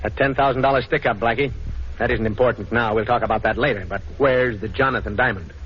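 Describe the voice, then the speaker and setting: A man's voice: medium pitch, fairly even intonation, fast, natural voice. Guy doing a blustery fed-up cop inspector, needling, muffled 1940s radio with some hiss. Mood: insistent. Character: exasperated, blustering police inspector, grudgingly bargaining. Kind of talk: 1940s radio crime drama acting. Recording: dull, noisy 1940s radio recording.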